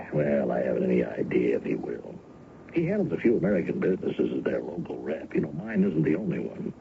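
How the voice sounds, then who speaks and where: A man's voice: extremely low pitch, wildly swooping pitch, fairly quick, creaky, exaggerated voice. An actor playing a genial, indulgent businessman father in his fifties in an old-time radio drama, casual and a little hesitant. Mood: affable. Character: genial fifty-one-year-old American businessman father, trusting, indulgent. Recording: dull, lightly noisy old radio recording.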